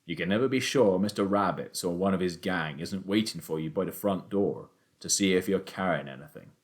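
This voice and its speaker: A proud, calm father who speaks in a low, steady voice, as a male narrator acts him. He sounds gentle and sure of himself. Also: a little fast, lightly acted.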